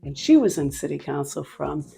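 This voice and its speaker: An older woman from Cleveland, Ohio, answering interview questions and telling her life story in an unhurried, pausing way. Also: low-pitched, big pitch swings, vocal fry, warm tone, breathy edge. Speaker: interviewee, nonprofit leader. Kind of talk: casual interview conversation. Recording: faint background music.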